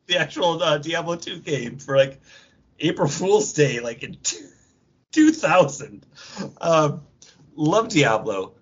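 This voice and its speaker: A man co-hosting a podcast in casual, animated pop culture chat, swearing freely and acting out imagined quotes. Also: fairly high pitch, takes it slowly, long pauses, breathy edge. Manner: profane, acts out imagined quotes mid-talk. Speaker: podcast co-host. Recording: dull, lo-fi audio.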